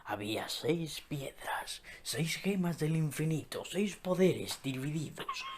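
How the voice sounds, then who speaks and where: A man voicing a grave, ominous omniscient film narrator in a breathy, dramatic whisper. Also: on the high side, sing-song, full character voice. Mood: ominous, hushed. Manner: slow, dramatic.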